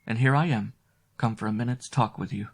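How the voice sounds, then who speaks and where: A man's voice: medium pitch, a little fast, lightly acted. A man voicing a tender, anxious young inn maid in a storybook reading. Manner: soft, urgent, breathless warnings.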